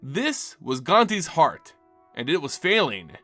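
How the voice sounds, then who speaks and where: A man's voice: a little high, sing-song, slow, bright, forceful tone. A man who hosts a lore channel, reading scripted fantasy narration in a dramatic storytelling style. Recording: music bed behind the voice. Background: background music.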